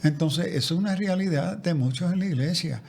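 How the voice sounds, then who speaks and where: A man's voice: fairly high pitch, fairly quick, vocal fry, darkish tone, husky. Reflective man with many restarts. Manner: reflective, with frequent restarts and repetitions. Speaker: guest theologian. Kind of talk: conversational interview.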